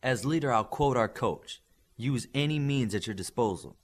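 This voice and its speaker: A man's voice: medium pitch, moderate pace, lightly acted. A man voicing an earnest, puzzled young superhero team leader in an audiobook, in a literal-minded manner.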